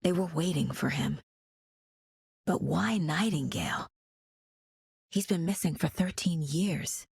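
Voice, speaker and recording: A woman playing a serious, analytical investigator and mother in a video game, speaking in a measured, pensive, terse way with a husky voice. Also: fairly low pitch, a little mellow, dry recording, clean studio sound, heavily acted. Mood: focused, pensive. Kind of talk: dramatic game dialogue, introspective investigation lines.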